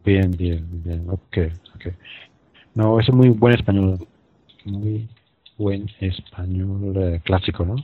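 A German man speaking Spanish with a German accent, a participant in an online chat, talking in a measured, slightly halting way. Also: low-pitched, fairly even intonation. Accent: German, speaking Spanish learned in Madrid. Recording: husky voice over a tinny phone-like line.

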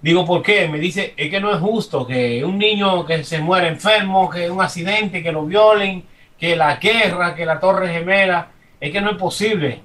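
Dominican man, higher-than-average voice, emphatic off-the-cuff faith commentary, slightly distant microphone. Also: normal speed, fairly bright tone. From Dominican Republic. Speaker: congregant giving comments. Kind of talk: impromptu religious testimony.

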